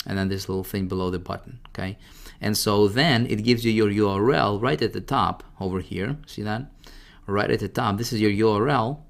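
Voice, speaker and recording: A man presenting a casual, informal software tutorial, talking directly to the listener and often checking that they follow. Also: fairly low pitch, creaky. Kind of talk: casual screen-share product walkthrough.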